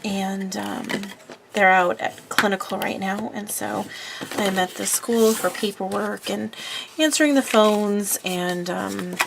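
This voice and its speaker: A woman's voice: mid-range voice, slow, vocal fry, background noise. A woman with a congested voice from a cold, chatting casually and rambling with fillers while working at her craft desk. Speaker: papercraft video host.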